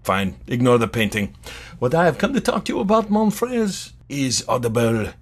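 A man's voice: medium pitch, sing-song, normal speed. Guy doing a haughty french emperor, theatrical comedy bit, french accent, voice jumping all over. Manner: pompous, imperious, theatrical. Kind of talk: improvised comedy podcast sketch.

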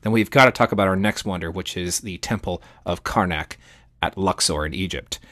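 A man's voice: low voice, a little fast, fairly bright tone, no room sound, studio quality. A man co-hosting a podcast, explaining history in an enthusiastic, conversational way. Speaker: podcast co-host.

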